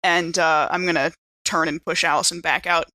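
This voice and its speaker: A woman's voice: on the low side, moderate pace, no echo. A woman playing a tabletop game, improvising casually and expressively as she describes her actions. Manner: expressive, emphatic. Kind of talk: casual, improvised in-character play.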